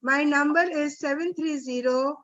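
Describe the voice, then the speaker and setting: A high-pitched woman with an Indian accent leads an online workshop. She talks informally and encouragingly, stressing points through repetition. The audio is dull and lo-fi, like a web call. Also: moderate pace, a bit bright. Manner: encouraging, emphatic with repeated phrases. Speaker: guest trainer leading an online teacher workshop. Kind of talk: informal motivational closing talk to trainees. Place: online video session.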